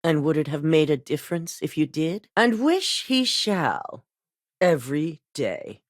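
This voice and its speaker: An icy corporate boss, low-voiced. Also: female voice, big pitch swings, unhurried, dry recording, clean studio sound, full character voice. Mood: cold. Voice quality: clipped, commanding, icy. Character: cold ruthless woman corporate executive. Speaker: video game character. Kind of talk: game dialogue voice acting.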